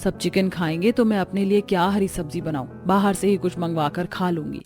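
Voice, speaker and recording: A woman's voice: on the low side, fairly quick, a little mellow, faint music behind, natural voice. A woman voicing a hurt, neglected daughter-in-law in a cartoon family drama, speaking plaintively. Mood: hurt, resigned. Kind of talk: Hindi cartoon story dialogue.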